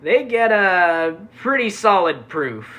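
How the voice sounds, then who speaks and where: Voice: mid-range voice, very animated, takes it slowly, pushed and bright. A reviewer giving a casual, sarcastic recap, full of offhand asides and swearing. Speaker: anime reviewer.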